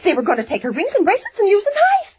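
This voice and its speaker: A very high-voiced, fretful invalid wife, stammering and pleading down the phone in an old radio play. The sound is dull and lo-fi, like an old broadcast recording. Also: female voice, wildly swooping pitch, rapid-fire, full character voice. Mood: distraught. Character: frantic bedridden woman, demanding and nervous. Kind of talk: 1940s radio acting.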